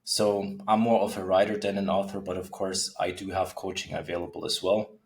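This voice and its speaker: A man's voice: low-pitched, flat delivery, fairly quick. A man in his twenties with an Austrian German accent, chatting casually as a podcast guest. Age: 20s. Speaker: podcast guest, author and men's coach. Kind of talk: casual interview chat.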